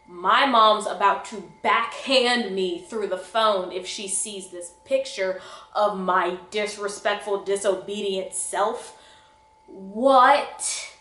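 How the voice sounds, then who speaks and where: Animated young woman telling stories, echoey room. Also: mid-range voice. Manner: dramatic, exaggerated, slangy. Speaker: vlogger. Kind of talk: animated personal storytelling with acted-out dialogue.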